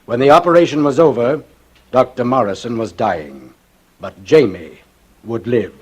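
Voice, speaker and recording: An actor playing a grave, earnest lawyer in a measured, solemn 1940s radio drama style. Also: male voice, medium pitch, very noisy, natural voice. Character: grave, earnest defense lawyer breaking hard news. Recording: vintage radio broadcast.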